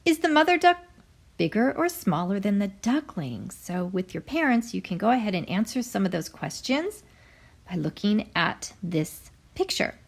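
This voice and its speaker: A woman teacher reading a story aloud to young children, expressive and carefully enunciated, pausing to explain. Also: medium pitch.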